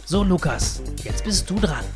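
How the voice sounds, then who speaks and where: A woman voicing an excitable, playful, cheese-loving mouse who hosts a children's show with an animated, eager delivery. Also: lowish voice, unhurried. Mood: cheerful, encouraging. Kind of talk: lively children's show presenting. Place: small indoor TV studio set. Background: background music.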